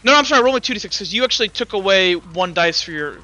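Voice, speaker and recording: A man's voice: high voice, animated, fast, lo-fi sound, background noise. A young man running a tabletop game as game master, rambling with fillers and self-corrections.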